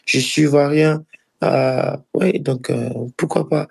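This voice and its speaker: A young man from Ivory Coast with an Ivorian accent gives casual interview answers as a footballer. His voice sits fairly high and is heavy with fry. He talks haltingly, circling back and repeating phrases to press his point. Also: fairly even intonation, a little mellow. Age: early 20s. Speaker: professional footballer being interviewed.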